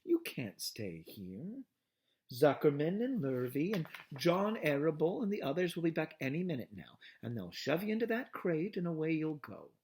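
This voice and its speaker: A man voicing a wise, calm female spider in a storybook read-aloud, speaking softly and gently scolding. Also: a little high, moderate pace, breathy edge, heavily acted. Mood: gently firm.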